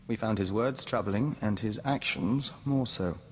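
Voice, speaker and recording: A man voicing a gentle, devoted diplomat of an insect hive mind, formal and measured, in a video game. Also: fairly low pitch, close to an everyday voice. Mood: grave, formal. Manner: formal, measured, speaks of himself as we. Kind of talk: scripted video game dialogue acting. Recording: long reverb, noisy game ambience.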